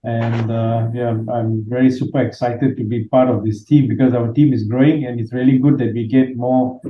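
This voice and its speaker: A man's voice: mid-range voice, fairly even intonation, moderate pace, slight background noise. A man from Malaysia chatting on a group video call, hesitant with many fillers and thankful in tone. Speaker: trading group member. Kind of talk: casual group call chat. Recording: tinny echoey call line.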